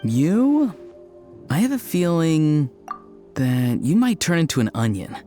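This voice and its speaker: An actor playing an earnest, kindly young man in a video game, telling whimsical tales in a gentle, matter-of-fact way. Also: a little high, sing-song, slow, faint music behind. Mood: playfully earnest.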